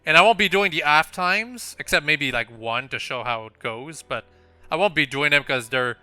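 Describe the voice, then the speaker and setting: Guy doing casual let's play commentary, really bright clear voice, kinda high, game music behind. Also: expressive, normal speed, no echo.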